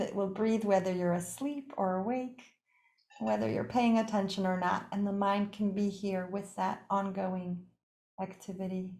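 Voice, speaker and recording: Echoey woman guiding a meditation. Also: mid-range voice. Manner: unhurried, gently inviting, with pauses between short phrases. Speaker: yoga nidra meditation teacher. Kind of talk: guided meditation. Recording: echoey, roomy sound.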